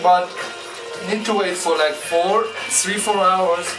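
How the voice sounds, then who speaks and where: A young man with a Hungarian accent drawls through casual chat outdoors in the cold, laid-back and swearing freely. His voice sits a bit high, and he speaks very slowly. Music plays clearly behind him. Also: steady tone, poor audio. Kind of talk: casual vlog chat in English.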